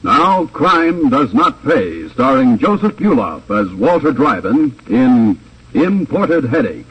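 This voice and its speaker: A man's voice: mid-range voice, sing-song, slow, a bit bright, slight background noise, low-quality recording. A man announcing and narrating a 1950s radio crime drama in a dramatic, declamatory scripted style. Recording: old radio recording with music.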